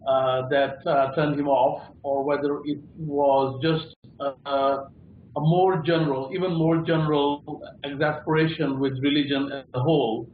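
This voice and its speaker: A panellist on a call-in discussion show, a man speaking slowly and haltingly with many fillers. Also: a little high, flat delivery, slight background noise. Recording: tinny echoey phone-like line.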